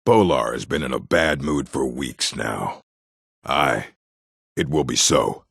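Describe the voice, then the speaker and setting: An actor playing a gruff, proud orc in a fantasy video game, blunt and stern, with a deep, husky, gravelly voice. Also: male voice, expressive, takes it slowly, fairly bright tone, dry recording, clean studio sound, full character voice. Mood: plain, brooding. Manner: blunt, stern, terse, solemn. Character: gruff, proud, hostile male orc of a stronghold.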